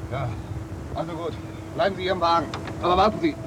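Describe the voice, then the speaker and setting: A man playing a high, agitated stranded traveler. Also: fast, close to an everyday voice. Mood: irritated, urgent. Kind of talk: 1950s German radio play acting. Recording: loud engine noise behind voice.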